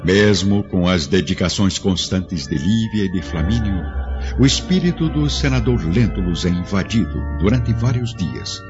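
A man narrating a radio drama in a grave, measured storytelling manner. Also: low-pitched, expressive. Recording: dull lo-fi audio with strong music.

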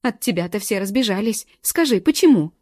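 A woman reading a distraught young woman who shouts accusations through tears. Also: steady tone, fast talker, no echo, clean studio sound. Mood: accusing. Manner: shrill, accusing.

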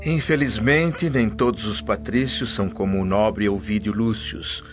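An actor with a Brazilian accent playing a humble, earnest freed Roman slave in a radio drama. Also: male voice, lowish voice, a little slow, natural voice. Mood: sorrowful, respectful. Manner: formal, earnest. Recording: dull lo-fi audio under loud music. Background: music.

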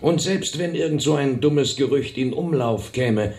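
An actor playing a cold, calculating plotter in a German radio drama, insinuating with feigned innocence. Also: male voice, medium pitch, unhurried, darkish tone, natural voice. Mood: coolly calculating.

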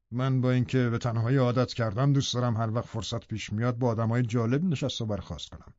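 A man's voice: medium pitch, steady tone, darkish tone, no echo, muffled recording, natural voice. An actor playing a domineering, sneering villainous father in an audiobook, commanding and sardonic with barely contained anger. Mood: falsely courteous. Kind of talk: audiobook drama acting.

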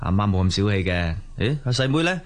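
A man's voice: fairly low pitch, big pitch swings, quick pace. A man playing a harried but easygoing young working father in a colloquial radio drama. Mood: flustered. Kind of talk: Cantonese radio drama acting.

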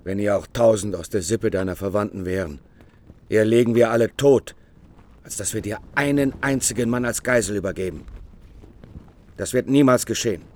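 Actor as a defiant low-voiced warrior. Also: male voice, moderate pace, darkish tone, lightly acted. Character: defiant, fiercely loyal Burgundian brother and warrior. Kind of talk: German radio drama acting.

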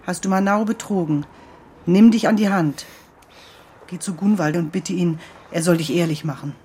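A woman's voice: lowish voice, moderate pace, mellow, slightly rough. An actress playing a severe, moralising country woman in a radio play, grave and admonishing, with formal diction. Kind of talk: German radio play acting.

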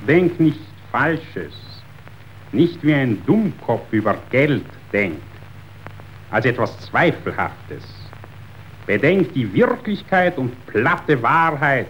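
A grandiose, ruthless meat tycoon in an epic radio play, speaks with emphatic rhetoric. His pitch sits high and swings widely, and he takes his time over the words in a muffled recording. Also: a bit bright, heavily acted. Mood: lecturing. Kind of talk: 1930s epic theatre radio drama acting. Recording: dull, noisy old radio recording.

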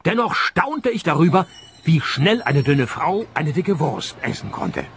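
A man's voice: a little high, unhurried, creaky, slight background noise, exaggerated voice. An actor playing a sarcastic male private detective in a radio play. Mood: wry. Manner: dry, deadpan, sarcastic. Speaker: lead actor and narrator.

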